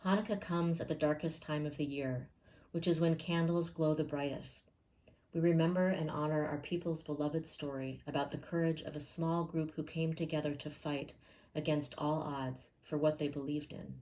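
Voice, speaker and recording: Woman, low pitch, dark relaxed tone, gentle, calm, slow guided-meditation narration with pauses. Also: low-quality recording.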